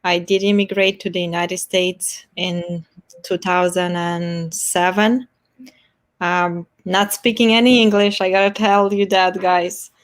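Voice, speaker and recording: A woman's voice: lowish voice, fairly even intonation, unhurried. A woman in her forties from Moldova, a native Romanian speaker with a Moldovan accent, chatting earnestly as a game show contestant over a video call. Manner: chatty, earnest, laughs often. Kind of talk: casual self-introduction.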